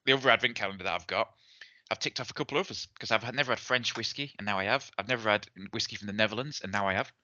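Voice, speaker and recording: A man co-hosts a casual podcast, rambling cheerfully from one thought to the next. His voice sits a little low but comes across very bright and forceful. The recording is dry and slightly dull. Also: racing, no echo. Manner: chatty, rambling, laughs easily. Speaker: podcast co-host. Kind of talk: casual chat.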